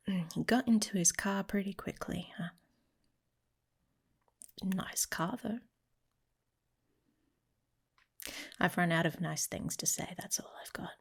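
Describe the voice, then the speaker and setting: A woman voicing a protective, teasing werewolf mate in an intimate ASMR romance roleplay, speaking softly and casually, often slipping into a whisper. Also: fairly low pitch, creaky, husky, exaggerated voice. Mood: dry, reassuring. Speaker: audio roleplay performer.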